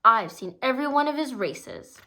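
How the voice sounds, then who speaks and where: A bright-voiced, eager young male pup, cheerful and earnest about helping out, read out by a woman. He talks up a little higher than a plain reading voice and with excited energy. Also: normal speed, heavily acted.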